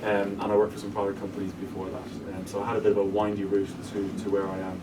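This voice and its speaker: A man's voice: fairly low pitch, completely flat delivery, quick pace. An Irish man speaking as a guest at a live evening talk, explaining his work in a businesslike way and often restarting his sentences. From Ireland. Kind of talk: interview talk to a live audience. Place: event room with an audience. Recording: distant mic with room noise.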